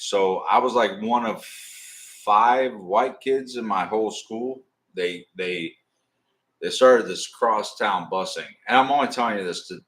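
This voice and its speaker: A middle-aged man with a deep voice, rambling and swearing casually as he hosts a live stream. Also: a bit bright. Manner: rambling, swearing, drawn-out storytelling. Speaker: livestream host.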